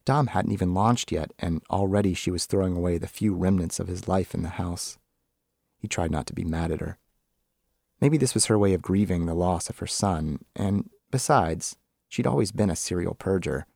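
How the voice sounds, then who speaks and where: A man's voice: low voice, normal speed, creaky, darkish tone, dry recording, studio quality. A man narrating a piece of literary fiction as a scripted reading. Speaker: author reading own short story.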